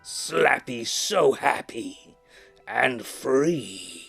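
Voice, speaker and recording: A man voicing a mischievous, menacing living ventriloquist dummy with childish glee, in a theatrical, taunting, drawn-out way. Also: medium pitch, animated, vocal fry, a bit bright, breathy edge, faint music behind, heavily acted. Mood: gleeful. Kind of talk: dramatic script reading.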